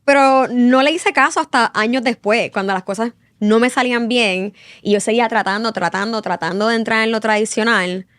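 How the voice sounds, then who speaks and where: A woman's voice: on the high side, moderate pace, few pauses, fairly bright tone, dry recording. Puerto Rican woman, dry close sound. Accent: Puerto Rican Spanish. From Puerto Rico. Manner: storytelling, mixes in English phrases. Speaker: guest journalist. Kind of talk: casual interview conversation.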